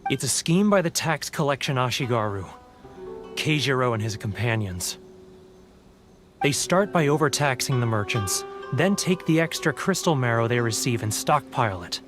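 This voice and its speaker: A man's voice: medium pitch, animated, normal speed, over music, close to an everyday voice. An actor playing a homesick, guilt-ridden male merchant in a game, mournful and prone to stammering. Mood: confessing. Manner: mournful, stammering, self-pitying. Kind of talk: video game cutscene dialogue.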